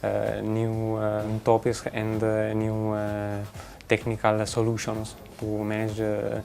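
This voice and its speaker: A man's voice: lowish voice, completely flat delivery, slow, vocal fry, darkish tone, slight background noise. A man in his twenties, a course participant, hesitantly searching for his words in an interview clip. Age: 20s. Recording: faint music under interview clip.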